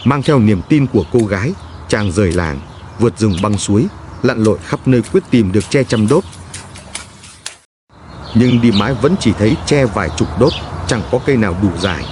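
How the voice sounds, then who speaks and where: A man's voice: on the low side, lively intonation, moderate pace, a little mellow, a little hiss or hum. A man narrating a scripted fairy tale as a storyteller for a cartoon. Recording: music behind the voice. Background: background music.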